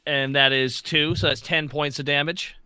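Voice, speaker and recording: A man's voice: on the high side, fairly even intonation, moderate pace, pushed and bright, smooth. A man taking his turn as a player in a tabletop game, chatting casually and calling out his rolls. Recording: music playing behind the voice.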